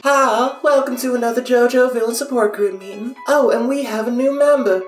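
A woman voicing a cheerful, orderly support group leader in a comic dub, polite and measured. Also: fairly high pitch, roomy sound, soft background music, close to an everyday voice. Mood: welcoming.

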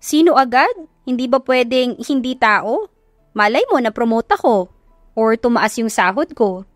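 A woman's voice: a little high, animated, a little slow, no echo, studio quality, soft background music. A woman voicing a bashful, defensive young woman in an audio romance, flustered and protesting, with a Filipino accent.

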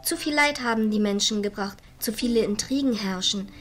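A woman's voice: medium pitch, a little fast, fairly bright tone, close to an everyday voice. A woman playing an earnest, wary fairy sorceress of nature in a scripted fantasy audio drama.

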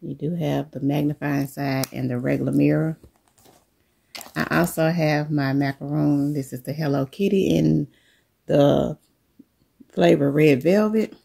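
A middle-aged woman coming down with a cold, showing the contents of her bag to viewers in a relaxed, unhurried chat from her living room. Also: deep voice, gravelly, breathy edge. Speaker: vlogger. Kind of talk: casual show-and-tell chat.

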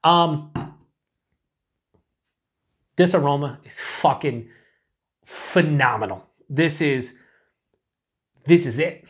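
A man's voice: on the high side, slow, long pauses. Chatty, enthusiastic middle-aged man reviewing beer. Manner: chatty and enthusiastic, swears, drops to breathy whispers while sniffing. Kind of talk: casual solo review to camera. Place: small room indoors.

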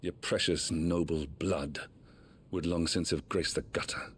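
An actor playing a weary, firm middle-aged archduke in a video game drama, measured and wry. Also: male voice, deep voice, steady tone, slight background noise. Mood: weary, bitter.

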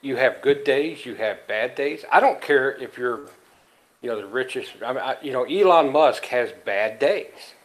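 A man's voice: medium pitch, expressive, moderate pace, poor audio. Folksy middle-aged man chatting. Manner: easygoing, folksy, rambling. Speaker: podcast co-host. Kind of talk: casual podcast chat. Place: small room indoors. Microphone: headset on a video call.

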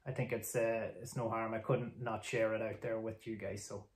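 A man's voice: on the low side, level tone, moderate pace. An Irish man in his forties rambling casually and appreciatively to camera as a hobbyist host. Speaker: video host, amateur radio hobbyist. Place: small indoor home radio room. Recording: roomy home-office sound.

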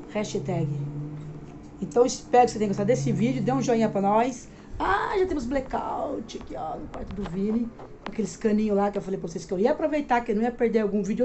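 A woman's voice: mid-range voice, background noise. A woman in her forties from Minas Gerais, with a Minas accent, chatting loosely to her viewers in a casual home vlog, recorded on a phone held close while lying in bed. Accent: Brazilian Portuguese, Minas Gerais. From Minas Gerais, Brazil. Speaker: vlogger. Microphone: phone camera held close.

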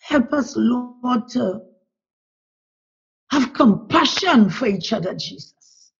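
A woman's voice: fairly high pitch, takes it slowly, creaky, slightly rough. A woman from Trinidad with a Trinidadian accent, leading a fervent spoken prayer that keeps returning to "Lord" as she pleads. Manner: fervent and pleading, with "Lord" repeated in a steady rhythm. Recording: dull lo-fi recording.

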